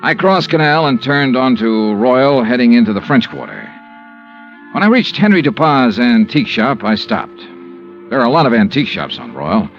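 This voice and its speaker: A man's voice: mid-range voice, sing-song, moderate pace. Hard-boiled man narrating an old radio mystery. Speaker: insurance investigator and narrator. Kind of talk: first-person 1950s radio drama narration. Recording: dull vintage radio recording with music.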